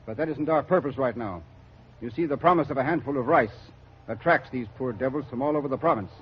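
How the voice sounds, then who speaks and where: An actor playing a cold, matter-of-fact army captain in 1940s radio drama, explaining with detachment. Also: male voice, medium pitch, fast talker, slight background noise, close to an everyday voice. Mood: cold, detached. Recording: muffled vintage radio recording.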